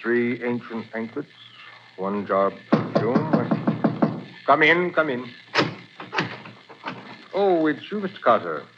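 An actor playing a polite, fussy museum curator in a 1940s radio drama, courteous in manner. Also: male voice, medium pitch, expressive, slow, long pauses, over loud music. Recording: muffled old radio broadcast with clear hiss.

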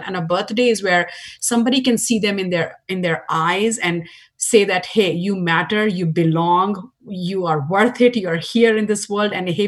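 A woman from India with an Indian accent, speaking earnestly as a nonprofit board chair in an interview. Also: lowish voice. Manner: earnest, heartfelt, with restarts. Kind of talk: interview guest.

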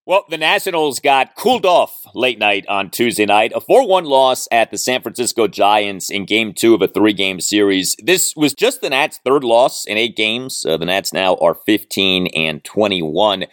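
A man hosting a solo sports podcast, speaking in an emphatic, deliberate way as he delivers commentary and reads. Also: fairly low pitch, animated, fairly bright tone, dry recording, clean studio sound. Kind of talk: solo sports commentary and host-read ads.

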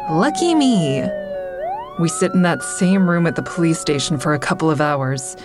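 A woman's voice: low voice, huge pitch swings, normal speed. An actress playing a guilt-ridden, self-critical crisis counselor who tells her story in confessional first-person audio drama narration. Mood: weary, sardonic. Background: prominent music.

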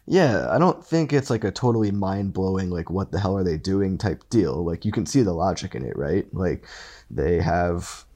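A man's voice: deep voice, animated, normal speed, dark tone. A man guest on a sports podcast, still recovering from an illness and coughing now and then, talking in a rambling way with many restarts. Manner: rambling, full of fillers and restarts. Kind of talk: casual sports podcast chat.

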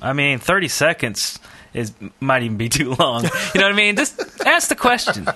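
A man's voice: fairly high pitch, big pitch swings, moderate pace, pushed and bright. A man co-hosting a podcast in casual chat, rambling along in a jokey, self-deprecating way. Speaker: podcast co-host.

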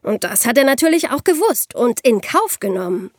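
A woman playing a young podcast host who explains crime cases to friends in a clear, patient, conversational way. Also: a little high, very animated, normal speed, no room sound, clean studio sound. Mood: explanatory, engaged.

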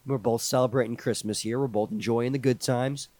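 A hoarse man in his twenties, co-hosting a podcast in casual, joking chat. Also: medium pitch, fairly even intonation, a little mellow, no room sound. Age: 20s. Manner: quick, easygoing, joking. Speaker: podcast co-host.

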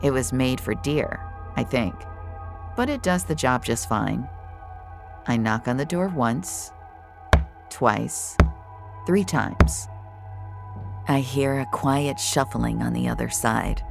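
A woman playing a calm, predatory serial killer, narrating horror audio fiction in the first person with deliberate, intimate menace. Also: very deep voice, creaky, over music, full character voice. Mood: cold menace.

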